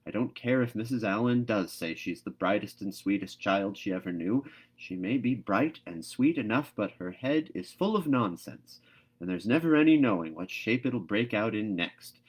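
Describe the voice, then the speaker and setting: A man voicing a stern, sharp-tongued middle-aged farm woman in a storybook, with brisk, emphatic scolding. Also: lowish voice, lively intonation, husky. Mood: grumbling.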